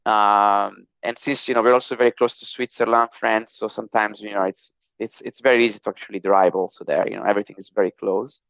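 A man's voice: fairly low pitch, fairly even intonation, a little fast, gravelly, fairly bright tone, dry recording, highs cut off. A man in his thirties from northern Italy, speaking English with an Italian accent as a podcast guest, hesitant with many fillers and restarts. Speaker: podcast guest, technology executive. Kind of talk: casual interview talk.

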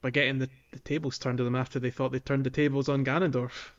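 A man's voice: medium pitch, level tone, quick pace. A man co-hosting a podcast in informal, sweary, casual conversation. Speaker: podcast co-host. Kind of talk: casual chat.

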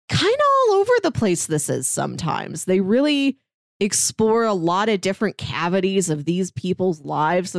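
A woman's voice: mid-range voice, dry recording, studio quality. Chatty woman recapping a TV season. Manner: chatty, analytical, laughs often. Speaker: podcast co-host. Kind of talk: casual TV recap chat.

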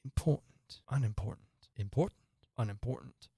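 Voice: medium pitch, sing-song, a little slow, no echo. Storybook king acted by an adult reader: pompous, blustering, muddled judge, emphatic delivery, dry studio-like closeness.

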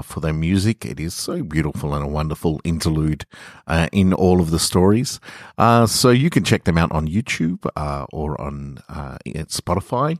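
Low male voice, podcast storyteller, measured phrasing, really dry sound. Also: no room sound. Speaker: podcast host and story reader. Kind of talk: literary story narration and informal sign-off.

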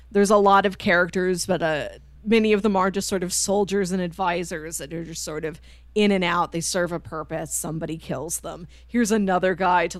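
A woman's voice: on the low side, dry recording. A woman co-hosting a podcast, talking through a book in a casual, conversational way. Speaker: podcast co-host.

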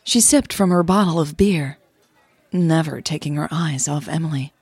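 Husky, low-voiced woman narrating slowly. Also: a little mellow, dry recording. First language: Dutch. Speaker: podcast host and narrator. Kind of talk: scripted audio story narration.

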